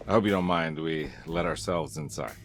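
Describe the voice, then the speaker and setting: A low-voiced male private investigator, wry and unflappable. He talks with casual politeness in a game cutscene. Music plays behind him. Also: moderate pace. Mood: smooth, insistent. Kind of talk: cinematic game voice acting.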